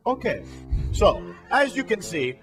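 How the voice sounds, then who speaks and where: A man's voice: high voice, big pitch swings, unhurried, a bit bright, faint music behind, heavily acted. A man playing a vain, boastful Italian cooking show host, with an Italian accent and a pompous, theatrical delivery in a comic sketch. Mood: brisk, showy.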